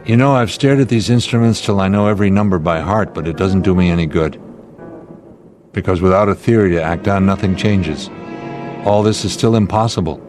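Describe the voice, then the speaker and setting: An American actor playing a calm, reasoning middle-aged airline captain in an audio drama, speaking in a deliberate, authoritative, explanatory way. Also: male voice, sits low, over music, close to an everyday voice. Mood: grim, resolute.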